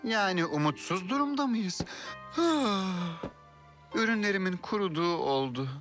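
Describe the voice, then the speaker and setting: Woman voicing an anxious, slow-talking cartoon farmer. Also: medium pitch, animated, soft background music, natural voice. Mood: despairing. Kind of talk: dubbed children's cartoon acting.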